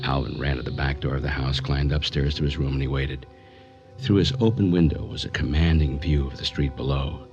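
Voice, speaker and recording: A man narrating a crime story in a radio drama, in a grave, measured, matter-of-fact way. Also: a bass voice, fairly even intonation. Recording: muffled radio recording with music underneath.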